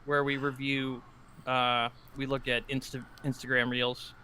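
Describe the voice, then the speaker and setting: A man's voice: medium pitch, flat delivery, a little slow, bright, forceful tone, clear, resonant tone. A man co-hosting a casual podcast, congested and nasal, teasing his co-host in a dry, sarcastic way. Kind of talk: casual chat.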